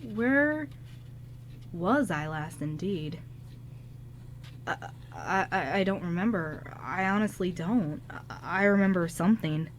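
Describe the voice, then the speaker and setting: A woman's voice: lowish voice, expressive, slight background noise. A woman playing an anxious, confused young man in an amateur radio drama, stammering and pleading. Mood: lost, anguished. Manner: stammering, halting.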